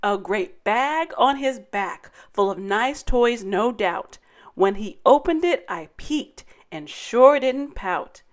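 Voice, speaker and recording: Woman, story time host, children's read-aloud, dramatic delivery, very animated pitch, slow and deliberate. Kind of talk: expressive children's picture-book read-aloud.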